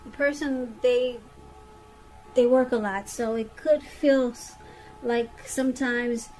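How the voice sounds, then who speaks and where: A woman's voice: fairly high pitch, fairly even intonation, slow, a little mellow, soft background music, low-quality recording. A woman giving a conversational tarot reading straight to the listener, repeating phrases for emphasis. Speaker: tarot reader.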